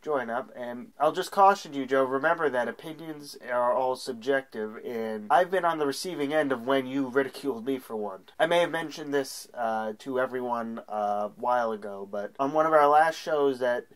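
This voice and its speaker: A man's voice: medium pitch, normal speed, few pauses, rough recording. A young man with a stuffy cold, chatting casually to camera as a sports show co-host, with frequent filler sounds. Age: young adult. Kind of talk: casual solo segment to camera. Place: small room at home.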